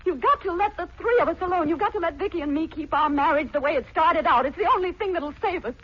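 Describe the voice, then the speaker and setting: A woman playing a resolute, wounded young wife with measured delivery in a 1940s radio melodrama, on a muffled recording. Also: very high voice. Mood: pleading.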